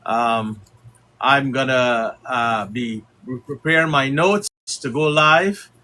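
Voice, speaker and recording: A middle-aged man from Guyana hosting a casual livestream as a community leader, talking in a halting way with many fillers. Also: mid-range voice, pushed and bright.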